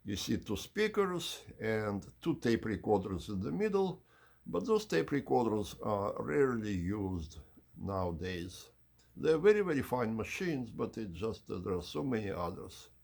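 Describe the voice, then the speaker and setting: A man hosting an unhurried explanatory video tour in measured, slightly formal phrasing, with a husky, gravelly voice. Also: fairly low pitch, sing-song, a little mellow. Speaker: channel host giving an audio system tour.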